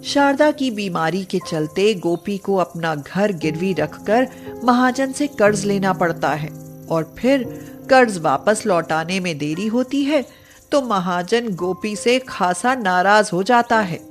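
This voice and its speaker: A woman narrating a scripted story in an expressive, dramatic way. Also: mid-range voice, takes it slowly, faint music behind.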